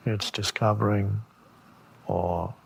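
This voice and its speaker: A man giving a meditation talk as a spiritual teacher, speaking contemplatively with long pauses and gentle questions. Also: lowish voice, level tone, creaky, slight background noise.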